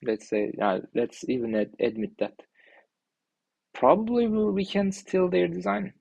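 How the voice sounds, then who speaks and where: A young man from Turkey with a Turkish accent, thinking aloud in halting, filler-heavy speech while he reviews an app on screen. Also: high voice, very animated, creaky, darkish tone, husky, dry recording, dull audio. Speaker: indie maker reviewing an app. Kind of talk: casual screen-share commentary.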